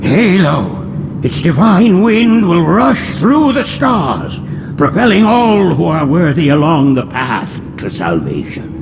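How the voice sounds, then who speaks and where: A man's voice: a little high, sing-song, slow, gravelly, long reverb tail, noisy background, full character voice. A man voicing a frail, elderly, pious alien priest with a quavering, reverent, sermon-like delivery. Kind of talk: video game cutscene acting.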